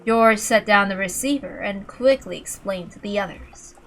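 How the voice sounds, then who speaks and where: A woman's voice: medium pitch, normal speed, fairly bright tone. A woman reading scripted narration from a visual novel. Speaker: reader of a visual novel playthrough. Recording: faint music behind the voice. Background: faint music.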